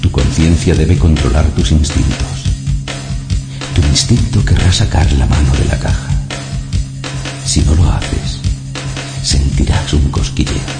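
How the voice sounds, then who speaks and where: Solemn ordeal master for radio play, male actor: ominous, slow, bottomless bass, loud music underneath. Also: echoey room. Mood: solemn, menacing. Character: solemn, menacing male voice commanding a ritual ordeal. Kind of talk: radio drama intro.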